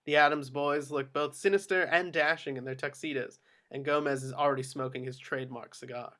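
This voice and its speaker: A man's voice: mid-range voice, fairly even intonation, a little fast, pushed and bright. A man reading out screenplay action directions as scripted narration at a table read. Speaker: action-line reader.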